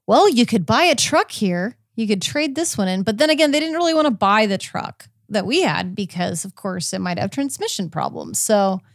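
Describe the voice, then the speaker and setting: A woman's voice: medium pitch, expressive, moderate pace, hardly any pauses, no echo, clean studio sound. An American woman hosting a podcast from home in a casual, conversational style. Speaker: podcast host. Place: indoors at home.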